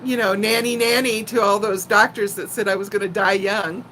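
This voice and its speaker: A woman in her sixties chatting casually as a live stream host, telling personal stories in a playful, animated way. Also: mid-range voice, normal speed, a little hiss or hum, poor audio. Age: 60s. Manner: animated, playful storyteller who laughs easily and often. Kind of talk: casual chat and personal storytelling.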